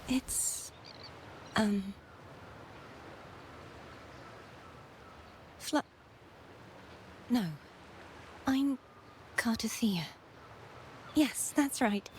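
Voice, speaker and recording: A woman voicing a gracious, wistful young stranger in a video game, speaking in a soft, halting, polite way. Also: on the high side, a little hiss or hum. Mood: hesitant, sorrowful.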